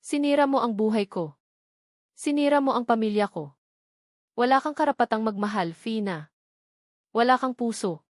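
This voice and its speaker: A woman's voice: a little high, expressive, normal speed, long pauses, dry recording, studio quality, natural voice. A Filipino-accented woman narrator voicing a wronged, furious woman in an audio drama, accusing and emphatic. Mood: seething. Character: wronged, furious young woman confronting her rival.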